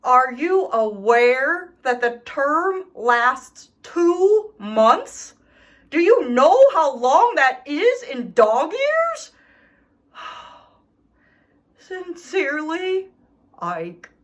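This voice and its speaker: A woman's voice: high voice, big pitch swings, very slow, pitched above the performer's own voice, heavily acted. A woman voicing an indignant, self-pitying male dog in a children's storybook, reading his letters with theatrical, aggrieved emphasis. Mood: aggrieved, pleading. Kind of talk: expressive children's storybook read-aloud.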